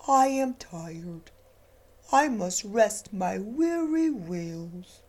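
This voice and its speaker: A woman's voice: mid-range voice, expressive, very slow, a little mellow, pitched below the performer's own voice. A woman voicing a tired, rusty old male engine in a storybook reading, sighing and whispering. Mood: weary.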